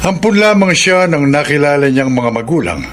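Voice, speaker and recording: A middle-aged Filipino father as a male actor plays him, pensive and secretive. He speaks deliberately and dramatically, with lively rises and falls, in an audio drama. The recording has clear background noise. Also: on the high side. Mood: secretive, grave.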